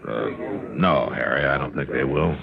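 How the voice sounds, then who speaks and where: An actor playing a steady, skeptical Old West marshal in a radio drama, unhurried and folksy with dry delivery. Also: male voice, rumbling low, gravelly, exaggerated voice. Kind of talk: 1950s radio western acting. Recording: muffled old radio broadcast, crowd behind.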